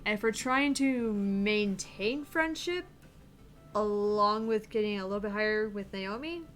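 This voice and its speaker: A young woman co-hosting a casual let's-play stream, animated, laughing often and swearing casually. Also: mid-range voice, unhurried. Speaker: game streamer co-host.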